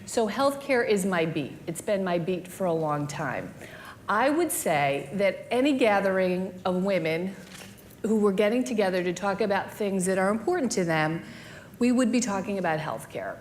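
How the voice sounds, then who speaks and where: A woman leads a stage interview in a chatty, lively way, tossing in quick asides. Her voice sits in a middle pitch. A faint hiss of noise lies under the recording.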